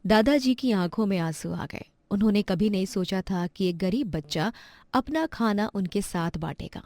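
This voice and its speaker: A woman's voice: medium pitch, expressive, normal speed, a little mellow, no echo, studio quality. A woman delivering scripted narration for a moral bedtime story.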